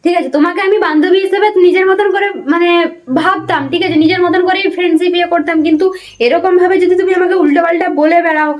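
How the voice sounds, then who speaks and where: A woman's voice: very high-pitched, steady tone, quick pace. A young woman, in a confrontational phone conversation, accusing and insistent and often pressing for agreement. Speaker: prank caller.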